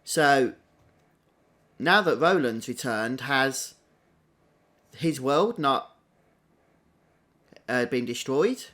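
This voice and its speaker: A man's voice: medium pitch, expressive, very slow, pauses often, a bit bright. A man giving casual live stream commentary as a game streamer. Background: game music behind the voice.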